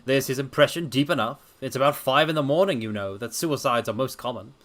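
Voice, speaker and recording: A man's voice: mid-range voice, a little fast, a bit bright, close to an everyday voice. A man voicing an earnest, sceptical police inspector in a dramatic reading, reporting in a matter-of-fact way. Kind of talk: dramatic reading of a detective story.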